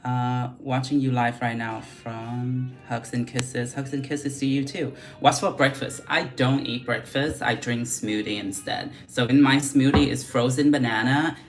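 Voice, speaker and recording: A woman's voice: very deep voice, steady tone, unhurried, hardly any pauses, soft background music. A young woman with a Vietnamese accent mixed with Australian English, chatting frankly on a makeup livestream and reading out viewer questions. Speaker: beauty vlogger doing a livestream. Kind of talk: casual livestream chat while doing makeup.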